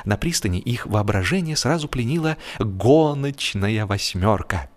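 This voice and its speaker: A man narrating a humorous literary story in an expressive, theatrical way, with deliberate drawn-out pauses. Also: fairly low pitch. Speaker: audiobook narrator. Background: music.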